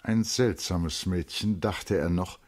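Low-voiced male radio drama narrator. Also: slow pace, a little mellow, dry recording. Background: music.

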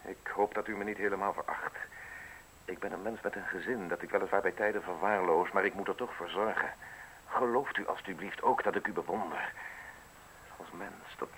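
Low-voiced, formal, evasive official. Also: male voice, fairly bright tone, rough recording. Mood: resigned, earnest. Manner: formal, measured, courteous. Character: conflicted male state prosecutor, polite, evasive family man. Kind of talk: Dutch radio play acting. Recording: hissy old radio drama recording.

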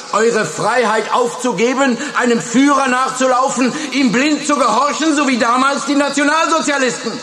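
An actor in a German radio play playing a stern, impassioned high school teacher who speaks in emphatic, deliberate phrases. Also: male voice, bright, forceful tone, full character voice. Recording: echoey hall with long reverb.

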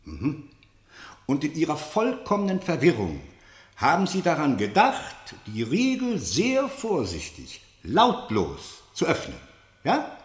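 An actor playing a stern military general who interrogates in a probing, sardonic and authoritative way in a radio play. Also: male voice, a little high, wildly swooping pitch, slow pace, heavily acted. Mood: probing, sceptical. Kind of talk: German radio play acting. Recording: roomy, echoing studio radio play.

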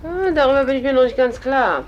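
An actress in an old German radio play playing a teasing, brisk, mocking lady friend of a gangster. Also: sits high, lively intonation, highs cut off. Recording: slightly noisy old radio recording.

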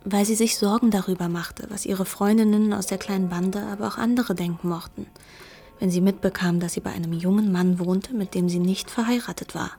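A woman's voice: mid-range voice, steady tone, a little fast, warm tone, slightly rough, soft background music. An actress playing a candid young woman who confides secrets about her friend in intimate literary radio drama dialogue. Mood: confiding, candid.

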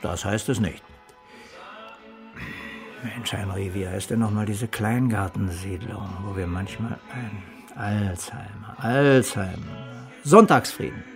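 An actor playing a gruff, forgetful former escape helper, middle-aged, blunt and wry in a naturalistic radio play. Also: male voice, fairly low pitch, slow pace, faint music behind. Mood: wry, reminiscing.

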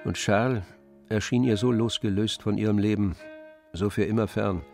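Measured male narrator with faint music. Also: on the low side, fairly even intonation, gravelly, darkish tone. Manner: measured storytelling. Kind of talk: scripted literary narration for a radio play.

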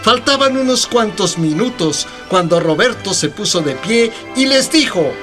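A man's voice: sits high, animated, a little slow, over music. A man with a Mexican accent narrating a scripted radio drama.

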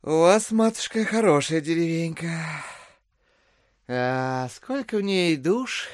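A man acting a smooth, wheedling gentleman schemer in an audio drama, ingratiating and politely hesitant. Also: on the high side, animated, slow pace.

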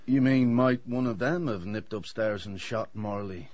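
An actor playing a flippant, sardonic dentist with a roguish streak in a radio drama. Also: male voice, lowish voice, measured pace, a little mellow, natural voice. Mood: wry, teasing. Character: wry, roguish, hard-drinking male dentist.

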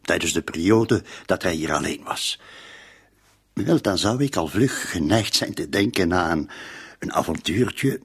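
An actor playing a patient, gently insistent police inspector in a radio drama, questioning tactfully with hesitant, repeated interjections. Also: male voice, low voice, expressive, a little slow, vocal fry, husky, exaggerated voice.

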